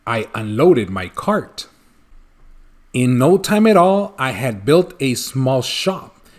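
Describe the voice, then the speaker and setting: Man playing an old recluse storyteller, sweeping pitch. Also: very animated, slow. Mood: joyful, excited. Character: secretive old reclusive storyteller, wistful and excitable. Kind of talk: storybook read-aloud in rhyme.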